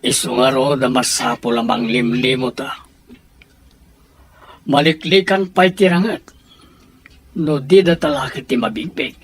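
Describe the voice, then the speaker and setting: A man's voice: mid-range voice, unhurried, pauses often, close to an everyday voice. Urgent, helpful man voiced by an actor, insistent delivery, audio drama with faint background noise. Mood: secretive, planning. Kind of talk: Ilocano radio-style audio drama acting.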